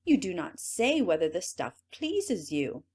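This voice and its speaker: A woman's voice: lowish voice, very animated, unhurried, darkish tone, breathy edge, full character voice. A woman reading aloud, voicing a sly, falsely polite rogue weaver in a storybook.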